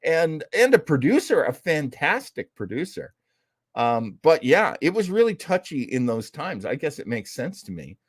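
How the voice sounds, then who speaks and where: Animated male host, chatty and dry-sounding. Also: fairly high pitch, moderate pace, slightly rough, no room sound. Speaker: host of an online old-time radio show. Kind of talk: casual rambling livestream chat.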